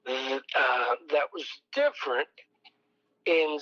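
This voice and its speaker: An older man, a songwriter, recalling his past slowly in an interview, with many pauses and a fairly high voice. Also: sharp tone, muffled recording. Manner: slow, rambling, many ums and pauses. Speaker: songwriter guest. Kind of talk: reflective oral history interview.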